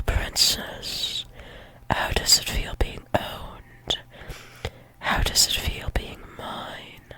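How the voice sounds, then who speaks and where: A woman's voice: fairly high pitch, warm tone, no room sound. A woman playing a dominant, doting female werewolf demon lover in an intimate ASMR roleplay, teasing and coaxing. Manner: teasing, coaxing, slow repeated praise, often dropping to whispers.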